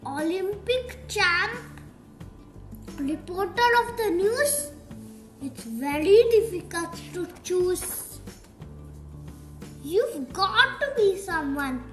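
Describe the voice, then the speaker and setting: A young girl reading a rhyming picture book aloud in a deliberate, sing-song storytime style. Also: extremely high pitch, lively intonation. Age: child. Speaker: young reader of picture books. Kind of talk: read-aloud storytime narration of rhyming verse. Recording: roomy echo, music playing behind. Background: music.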